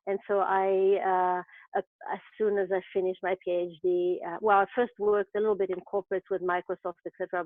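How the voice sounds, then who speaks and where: A woman's voice: medium pitch, dry recording, narrow, tinny audio. A woman from France with a French accent, speaking reflectively with many fillers in a webinar. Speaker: program co-founder presenting. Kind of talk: webinar talk. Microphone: video call.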